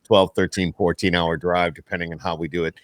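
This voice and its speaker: A man with an American accent hosts a casual podcast. His voice sits a little low and is recorded dry, with hardly any room sound. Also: flat delivery, fairly quick, dry recording. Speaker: podcast host. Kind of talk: casual chat.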